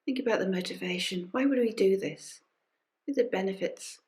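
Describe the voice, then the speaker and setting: A woman leading a guided meditation as a teacher, speaking gently and unhurriedly in short phrases with pauses and soft invitations. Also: mid-range voice. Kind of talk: guided body scan meditation. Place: recorded during an online session.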